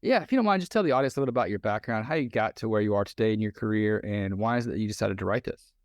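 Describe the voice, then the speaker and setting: A man hosting a podcast interview in a relaxed conversational way, often pausing on fillers and restarting sentences. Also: lowish voice, dry recording, studio quality. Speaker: podcast host.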